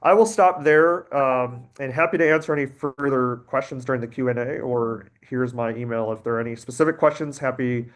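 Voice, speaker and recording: A man's voice: medium pitch, brisk, few pauses. Man presenting on a video call webinar. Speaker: webinar panelist.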